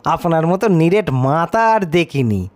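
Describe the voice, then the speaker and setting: A man's voice: on the high side, very animated, measured pace, a little mellow, no room sound. An actor playing an irascible, scornful male stranger in an audio story, scolding sarcastically. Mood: irritated. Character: irascible cigar-smoking stranger, scornful critic of ghost stories. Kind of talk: audio horror-comedy story acting.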